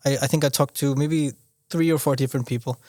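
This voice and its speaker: Young man from Austria, halting talk. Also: mid-range voice, steady tone, a little mellow, no echo, clean studio sound. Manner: halting, restarts often, leans on "you know". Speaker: podcast guest. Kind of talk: casual conversational interview.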